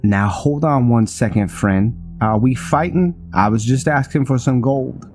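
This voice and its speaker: A man's voice: medium pitch, a little slow, darkish tone, faint music behind, lightly acted. A man playing a cocky, folksy young vampire assassin in a fantasy game, with a drawling, taunting manner. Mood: chummy, brash. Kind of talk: fantasy game character dialogue.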